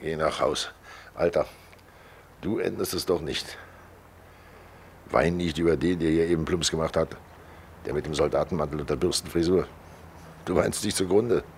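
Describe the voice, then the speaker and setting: An actor playing Death as a fat, cynical undertaker with a sardonic, matter-of-fact manner, in a 1940s German radio play. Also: male voice, deep voice, a little mellow, slight background noise. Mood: grimly dismissive. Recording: old 1940s radio recording.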